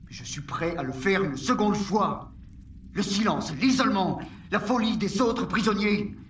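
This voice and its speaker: An actor playing a desperate war prisoner, once a proud chief, pleading in an agitated, theatrical way in a French audio drama. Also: male voice, high voice, a little slow, fairly bright tone, roomy sound, far from the mic, a little hiss or hum.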